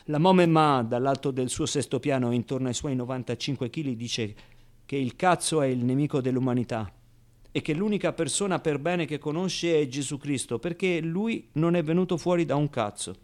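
A man performing a dramatic radio monologue as a streetwise, wounded ten-year-old orphan boy, telling his story in colloquial, halting speech. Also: fairly high pitch, a little mellow. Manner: colloquial, hesitant storytelling with repeated words. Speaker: actor-narrator. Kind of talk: dramatic radio theatre monologue in a child character's voice.

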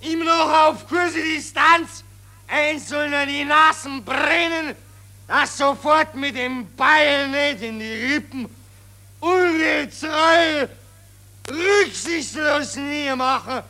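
Shrieking high-pitched Austrian general. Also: male voice, slow, exaggerated voice. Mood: bellicose, commanding. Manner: shouting, stammering, breaking into sobs. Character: furious, ranting old-school Austrian army general. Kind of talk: 1940s German radio play acting. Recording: old 1940s radio recording.

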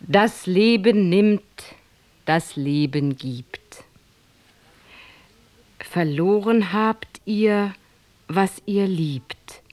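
A woman playing an old, knowing fortune-telling nursemaid, speaking cryptic verse in a measured, oracular way in an audio drama. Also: medium pitch, darkish tone. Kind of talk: rhymed verse radio drama acting.

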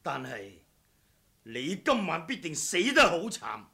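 A man's voice: a little high, very animated, slow pace, gravelly, pushed and bright, heavily acted. A man acting a vengeful, sardonic swordsman in a Cantonese radio drama, with a mock-courteous, taunting, theatrical manner. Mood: cold, menacing. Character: vengeful, sardonic swordsman come to settle a blood debt.

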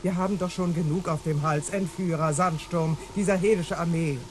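A woman playing an imperious, brusque female boss in a radio drama, sharp-tongued and commanding. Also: fairly low pitch, fairly even intonation, normal speed, a little hiss or hum, lightly acted. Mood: flustered, dismissive. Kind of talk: radio play acting.

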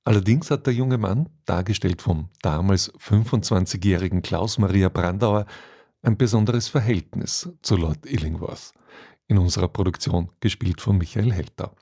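Mellow, low-voiced male radio presenter. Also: normal speed, husky. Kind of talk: scripted introduction to a radio play.

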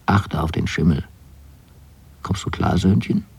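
Domineering older eccentric for radio play , played by a man: low, husky, cryptic, bossy ranting and curt questions. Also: unhurried, a little mellow, full character voice. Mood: ranting, urgent. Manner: bossy, rambling, declaiming, barked questions.

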